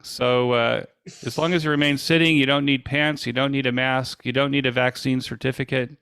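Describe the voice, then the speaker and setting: A man with a gravelly voice answering questions informally as a presenter, explaining things with frequent hesitant pauses. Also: mid-range voice, steady tone, fairly bright tone, no echo. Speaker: presenter and podcast host.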